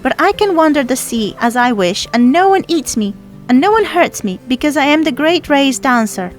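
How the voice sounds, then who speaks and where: A little girl from the sea, acted by a woman in a storybook reading. She is high-pitched and lively, chattering proudly and affectionately with childlike certainty, while music plays behind her. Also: normal speed. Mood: boastful, confiding. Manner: chatty, childlike, matter-of-fact boasting. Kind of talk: storybook character voice.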